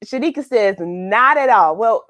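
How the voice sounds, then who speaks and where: A woman hosting a live read-along for children, chatting with viewers in an upbeat, excited manner. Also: fairly high pitch, measured pace, no room sound.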